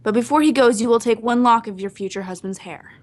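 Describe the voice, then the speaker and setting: A woman's voice: a little high, fast, natural voice. A woman playing a menacing older Hawaiian mother in an old-time radio melodrama, stern and terse in broken English. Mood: coolly commanding.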